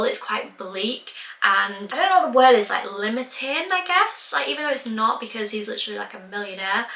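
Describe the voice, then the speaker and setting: Croaky girl in her 20s just yapping away to camera, bright voice, echoey room. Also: on the high side. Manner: rambling, talkative, casual swearing. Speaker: vlogger. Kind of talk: casual chatty vlog monologue. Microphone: camera mic.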